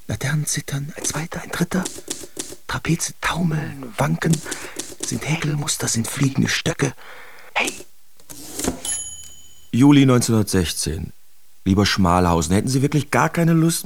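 An actor playing a bitter, sardonic young German artist reading his letters in a radio drama, theatrical and sharply emphatic. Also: mid-range voice. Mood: dreamlike, staccato, then mannered, playful. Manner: theatrical, sarcastic, emphatic enunciation. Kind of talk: literary radio drama monologue, letters read aloud.

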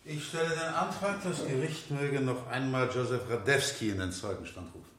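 A man's voice: medium pitch, moderate pace, a bit bright, roomy sound, a little hiss or hum, lightly acted. A man in a German radio drama playing an insistent defence lawyer, formal and pressing as he cross-examines. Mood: probing, formal. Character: insistent, sharp-questioning male defence lawyer in court.

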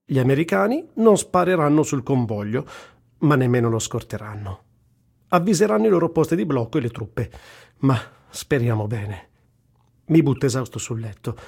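An actor playing an Italian journalist volunteering in a war, narrating in the first person in a radio reading, earthy, tender and wry, with literary delivery and blunt asides. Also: male voice, mid-range voice, animated, moderate pace, creaky, darkish tone, breathy edge. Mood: wry, brisk. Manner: dramatic literary recitation with blunt colloquial asides.

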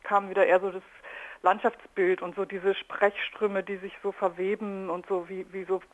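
A woman's voice: mid-range voice, level tone, moderate pace, highs cut off. A woman talking spontaneously as an interview guest, thinking aloud in loosely linked clauses. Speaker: radio artist being interviewed.

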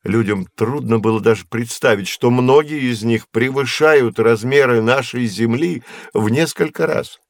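A man's voice: medium pitch, unhurried, few pauses, no room sound, natural voice. Man playing a kind patient professor teaching kids in an audio play, careful explainer, close dry sound. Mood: explanatory. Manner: didactic, carefully phrased, encouraging. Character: kindly patient male professor explaining science to children. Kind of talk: scripted educational audio drama, explanatory.

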